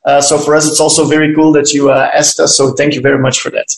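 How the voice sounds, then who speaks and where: Echoey, higher-voiced young Belgian man. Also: level tone, fast talker, creaky. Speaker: podcast guest, dancer and teacher. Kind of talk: interview answers. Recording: echoey room.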